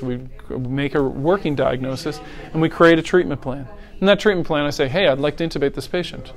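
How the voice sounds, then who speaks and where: A middle-aged man, a paramedic educator, giving a conversational lecture that walks through scenarios and asks the audience rhetorical questions. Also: on the high side. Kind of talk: teaching lecture on airway decisions.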